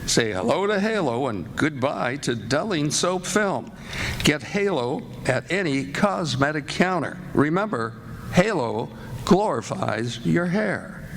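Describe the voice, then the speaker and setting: A man's voice: a little high, very animated, slow, gravelly, a little hiss or hum. A man announcing in the style of an old-time radio commercial, with a polished salesman's delivery and emphatic stresses.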